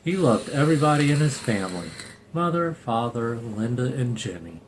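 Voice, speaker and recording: Male storybook reader, mid-pitched, casual, slight room noise. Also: sing-song, moderate pace, poor audio. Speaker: storybook reader and channel host. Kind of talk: reading a picture book aloud with banter.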